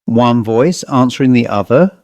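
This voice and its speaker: A man reading a horror story as an audiobook narrator, in a measured, literary, theatrical way. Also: mid-range voice, animated, a little mellow, dry recording.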